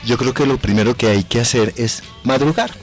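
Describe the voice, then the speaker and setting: A man's voice: mid-range voice, brisk, soft background music. A Colombian man explaining things as a radio guest in a formal, courteous, teacherly way. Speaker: actor, voice trainer, guest. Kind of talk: explanatory interview talk. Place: radio station booth.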